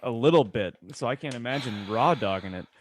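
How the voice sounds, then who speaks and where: A man's voice: mid-range voice, expressive, fairly quick. A man co-hosting a podcast with casual, joking banter. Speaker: podcast co-host.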